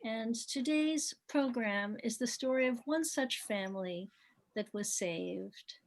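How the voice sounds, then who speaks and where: A woman's voice: on the high side, animated, slow. A woman giving a spoken welcome as the host of an online program. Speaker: event host introducing the program.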